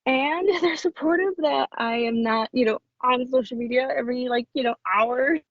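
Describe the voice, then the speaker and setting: A woman speaking as an author guest in a casual interview, effusive and often halting and restarting her sentences. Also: high voice, no room sound. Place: small cabin in the woods. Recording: dull lo-fi audio.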